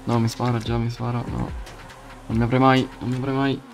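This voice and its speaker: A man chatting casually and excitedly as he plays a game, repeating phrases in rapid bursts. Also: medium pitch, faint music behind. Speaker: gamer playing a roleplay game with a friend. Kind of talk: excited casual gaming chat.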